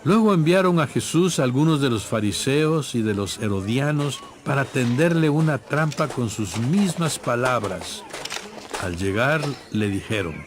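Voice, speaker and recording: A man's voice: mid-range voice, expressive, unhurried, noisy background. A man reading scripted narration for a dramatized audio Bible. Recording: music playing behind.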